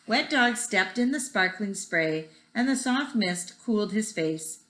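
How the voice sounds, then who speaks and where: A woman's voice: medium pitch, expressive, unhurried, fairly bright tone. A woman reading a children's picture book aloud expressively, with a rhythmic delivery that draws out repeated words. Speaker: read-aloud storyteller. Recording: echoey room.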